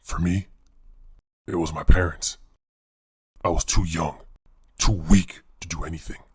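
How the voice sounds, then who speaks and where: A man playing a brooding, haunted vigilante hero in a comic dub, grave and deliberate, with a gravelly voice. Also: low-pitched, steady tone, no echo, clean studio sound, heavily acted. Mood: somber, probing. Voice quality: gravelly, husky. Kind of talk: dramatic comic dub acting.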